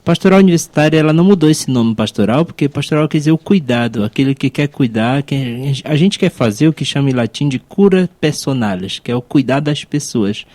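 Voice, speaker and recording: A man's voice: mid-range voice, animated, brisk, few pauses, darkish tone, dry recording. A man with a Brazilian accent speaking as a priest and podcast host, explaining things reflectively in a conversational way. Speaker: priest, podcast co-host and university chaplaincy advisor.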